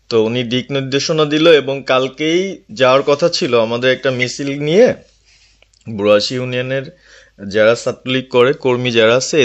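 A man reports to his leader on a phone call, speaking deferentially and a little hesitantly. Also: medium pitch. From Bangladesh. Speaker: local party activist. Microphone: telephone.

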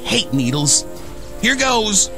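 A man's voice: high voice, big pitch swings, takes it slowly, music in the background, heavily acted. An actor playing a cocky, whiskey-drinking American redneck survivor, telling his story in folksy slang in a radio play. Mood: wry, anxious. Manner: folksy, slangy drawling wisecracks. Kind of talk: radio play monologue narration.

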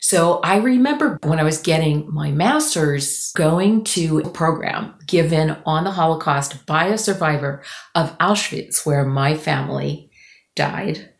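A woman guest author telling stories in a podcast interview, with expressive, deliberate phrasing and emphatic pauses. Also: low-pitched, creaky. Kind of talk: conversational storytelling in a podcast interview. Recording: roomy, echoing podcast audio.

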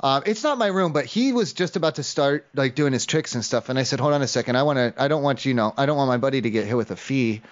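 A man's voice: mid-range voice, lively intonation, fast talker, hardly any pauses. A man making an improvised prank phone call, rambling in an evasive, hesitant way. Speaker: prank caller. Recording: dull lo-fi call audio.

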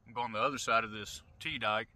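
A man's voice: medium pitch, fairly even intonation, brisk, sharp tone. A man in his thirties with an American accent, talking casually and folksily in clipped phrases as a fishing vlogger. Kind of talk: casual outdoor fishing vlog. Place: open boat on a wide river, outdoors. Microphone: camera at arm's length or across the boat. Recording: outdoor wind and water noise, often distant.

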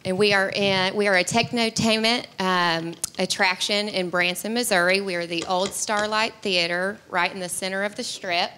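Bright-voiced upbeat young woman. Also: mid-range voice, steady tone, moderate pace, few pauses, slight background noise. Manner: upbeat, laughs easily, fillers. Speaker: guest promoting an attraction. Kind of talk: casual promotional chat. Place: diner-style TV studio set with audience. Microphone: handheld mic.

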